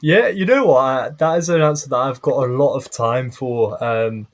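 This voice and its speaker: A young man hosting a casual podcast interview, friendly and hesitant with frequent pauses and restarts. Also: mid-range voice. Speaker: podcast host.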